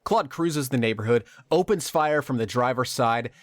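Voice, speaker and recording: Male podcast host, wry scripted recap with dramatic pauses, mid-pitched, dry close sound. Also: animated, no room sound. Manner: wry, dramatic pauses, laughs at own lines. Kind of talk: scripted narration of a game plot.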